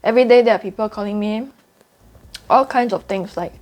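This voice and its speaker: A woman's voice: mid-range voice, a little fast, a little mellow. A young woman with a Singaporean accent talking candidly to camera in a vlog, earnest and confiding, repeating points for emphasis. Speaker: trading YouTuber.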